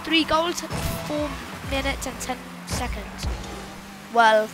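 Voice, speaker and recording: A woman giving loud, excitable, casual commentary while playing a video game, exclaiming often. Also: high-pitched, slow. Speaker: gameplay commentator. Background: game music.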